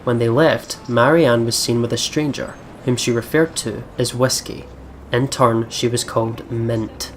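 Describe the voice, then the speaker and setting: A man's voice: mid-range voice, fairly even intonation, unhurried, a little hiss or hum, poor audio. A man giving casual, rambling gaming commentary with slang and swearing, sometimes reading text aloud. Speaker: let's play host. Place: at home at a computer setup. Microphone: desk microphone.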